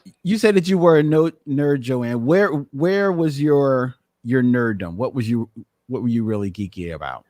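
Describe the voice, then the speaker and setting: A man's voice: mid-range voice, lively intonation, moderate pace, a little mellow, no room sound, studio quality. A man hosting a podcast in casual chat, talking in long meandering sentences and laughing often. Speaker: podcast host. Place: room full of Batman memorabilia.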